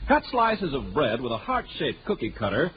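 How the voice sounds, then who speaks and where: A man's voice: medium pitch, huge pitch swings, normal speed, muffled recording. A man reading a 1940s radio advertisement as a commercial announcer. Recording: noisy band-limited 1940s radio broadcast.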